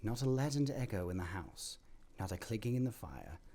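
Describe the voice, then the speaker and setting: Expressive, deep-voiced male storyteller. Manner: expressive, measured phrasing with dramatic pauses. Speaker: narrator. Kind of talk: dramatic scripted storybook narration.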